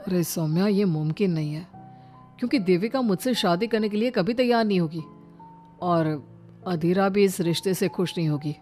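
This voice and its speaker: A woman's voice: lowish voice, normal speed, darkish tone, faint music behind, close to an everyday voice. A woman voicing a young married man in a cartoon family story, in an earnest, conversational manner. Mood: hesitant. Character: young married man, coaxing but easily irritated husband.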